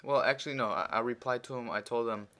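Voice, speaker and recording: Swearing Russian-accented teen gamer. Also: male voice, medium pitch, fast. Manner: crude, profane, rambling with many fillers; grows louder and heated while retelling the argument. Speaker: gaming commentator. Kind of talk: casual storytelling over gameplay.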